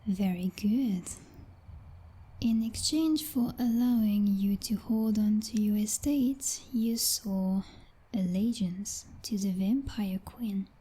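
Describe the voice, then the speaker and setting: A woman playing a possessive, jealous female vampire knight in an ASMR roleplay, whispering in a breathy, condescending and coldly menacing way. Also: medium pitch, warm tone. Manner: menacing, condescending, deliberate, teasingly formal.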